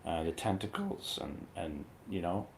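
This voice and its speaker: Male review-show guest, slightly low voice, irreverent and colloquial, informal joking film talk. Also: sing-song, measured pace, vocal fry, slight background noise. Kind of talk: casual movie review chat.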